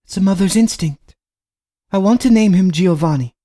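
A man's voice: sits high, normal speed, dark tone, voice pushed up, lightly acted. A man voicing a devoted, pregnant young wife who speaks earnestly and pleads with her husband.

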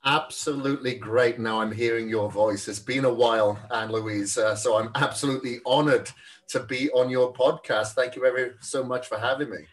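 A man's voice: medium pitch, steady tone, moderate pace, recorded from a distance. A Welsh man who is a fitness trainer, answering interview questions in a friendly, chatty way and laughing easily. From Wales.

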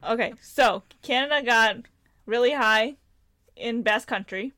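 A woman's voice: on the high side, a little slow, sharp tone, no echo. A woman co-hosting a podcast, quizzing her partner in a teasing, dry way. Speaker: podcast co-host. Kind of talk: casual chat.

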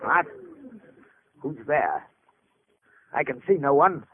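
High-pitched, deranged, grandiose radio-drama madman. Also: sing-song, slow, slight background noise. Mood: exalted. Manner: theatrical, formal, declamatory. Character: deranged, grandiose male would-be healer, desperate and doomed. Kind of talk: 1940s radio drama acting. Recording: tinny, band-limited old radio recording.

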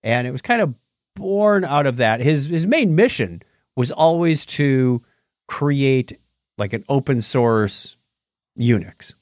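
A man's voice: medium pitch, expressive, takes it slowly, darkish tone, dry recording, studio quality. A middle-aged man co-hosting a podcast, explaining and retelling things in casual tech chat. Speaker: podcast co-host.

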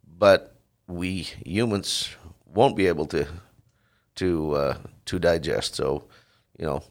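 A middle-aged man from the Netherlands speaks with a Dutch accent and a very deep voice. There is a gravelly fry in it. He answers interview questions haltingly, full of "uh" and restarts. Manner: halting, many fillers and restarts. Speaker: dairy farmer, guest.

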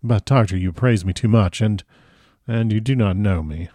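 A man's voice: fairly low pitch, moderate pace, mellow, dry recording, clean studio sound, natural voice. A man voicing a polite, modest young married woman in a storybook reading. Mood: gracious, modest.

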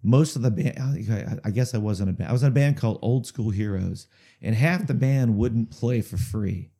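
A man chatting in an interview about his music, talking in a halting way with lots of fillers, restarts and repeated words, chuckling now and then. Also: on the low side, vocal fry, mellow. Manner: chuckles through stories. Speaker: musician guest. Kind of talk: casual rambling interview storytelling.

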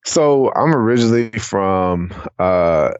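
A man's voice: lowish voice, lively intonation, slow pace, clean tone. An American man from the Atlanta area, a podcast guest casually telling personal stories in an informal, meandering way, with muffled, roomy sound. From Atlanta area, Georgia, United States. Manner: informal, meandering, many conversational tags. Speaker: podcast guest, education non-profit founder.

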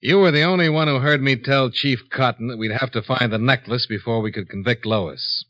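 An actor playing a shrewd, unhurried insurance investigator in a 1950s radio drama, with a dry, matter-of-fact delivery. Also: male voice, mid-range voice, bright, forceful tone, lightly acted. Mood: coolly accusing. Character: cool, shrewd male insurance investigator, hard-boiled and unhurried. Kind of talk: 1950s radio detective acting. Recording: dull 1950s radio broadcast recording.

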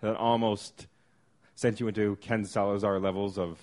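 A man hosting a podcast, chatting in a relaxed, easygoing way with plenty of uh fillers, recorded inside a clock tower room. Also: lowish voice, moderate pace. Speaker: podcast host. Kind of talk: casual podcast chat.

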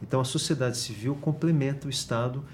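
A man with a Brazilian Portuguese accent, a university guest in an interview, explaining in an earnest, measured way with frequent hesitations. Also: medium pitch, a little hiss or hum.

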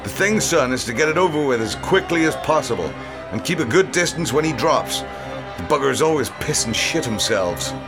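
A man playing a gruff, hard working-class hangman and father in an audio drama, snapping out blunt, coarse lines. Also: medium pitch, lively intonation, normal speed, voice pushed up, lightly acted. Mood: loud, instructing. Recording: loud music under the voice.

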